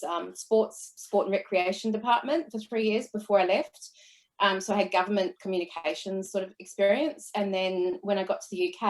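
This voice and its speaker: A woman's voice: mid-range voice, a little mellow. A woman in her forties with a New Zealand accent, chatting easily as an interview guest and telling stories about her career. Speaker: guest, entrepreneur. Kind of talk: casual interview chat.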